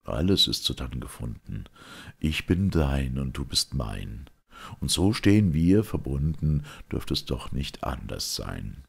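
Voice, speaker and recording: A man's voice: extremely low pitch, very animated, a little slow, gravelly, husky, voice pushed down, exaggerated voice. A man playing a grave, cautioning father who declaims solemn verse. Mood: devoted.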